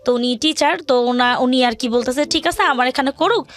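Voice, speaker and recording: A woman's voice: high-pitched, fairly quick, fairly bright tone. A young woman with a Bangladeshi accent telling a personal hardship story to an interviewer, in a rambling, colloquial way with repeated phrases. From rural Bangladesh. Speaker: guest telling her life story.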